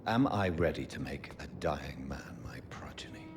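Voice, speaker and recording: An actor playing a grave, courteous vampire doctor in a video game, speaking formally with a British accent. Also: male voice, rumbling low, wildly swooping pitch, very noisy, heavily acted. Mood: brooding. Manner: formal, measured, brooding. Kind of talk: video game dialogue acting.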